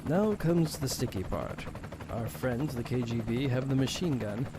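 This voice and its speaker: A man's voice: medium pitch, animated, a little slow, noisy background, natural voice. A man voicing a suave, unflappable secret agent with a wry manner in an audio drama. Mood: cool, wry.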